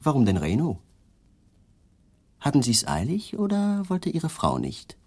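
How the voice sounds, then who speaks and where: A shrewd male detective who presses his questions calmly and politely and never lets go. His voice is slightly husky and moves freely up and down in pitch. It is a German radio play from the 1980s. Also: a little slow, heavily acted. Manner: calm, persistent, politely needling questions.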